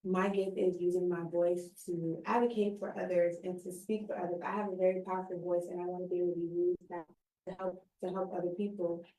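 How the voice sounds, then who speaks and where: A woman's voice: lowish voice, flat delivery, brisk, mellow. A young woman in her twenties, a recent college graduate, speaking earnestly and expressively in a casual conversation. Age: 20s. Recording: roomy sound, somewhat distant mic.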